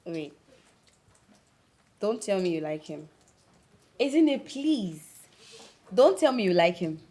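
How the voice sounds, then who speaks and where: A woman's voice: mid-range voice, big pitch swings, slow, dark tone. A woman playing a teasing, dramatic young village friend in a film drama, with a Nigerian accent and a theatrical way of talking. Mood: scandalised, teasing. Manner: theatrical, teasing, interjects with local words. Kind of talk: naturalistic film drama dialogue.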